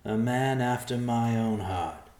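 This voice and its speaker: A male narrator acts out a sly little caravan bandit from a fantasy storybook. He is greedy and cocky, wheedling in folksy, rustic phrases. The voice sits higher than the narration, in a roomy, echoing recording. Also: slow pace, darkish tone, lightly acted. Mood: sly, haggling.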